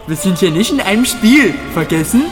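Guy acting a playful cocky adventurer, highish voice, loud music, audio drama. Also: lively intonation, a little fast. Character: cocky, playful young adventurer eager to fight.

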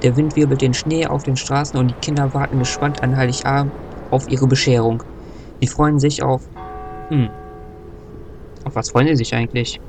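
A man's voice: medium pitch, fairly even intonation, normal speed, pauses often, close to an everyday voice. A man playing a solemn, easily huffy storyteller narrating a comic Christmas audio drama. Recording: noisy background under the voice.

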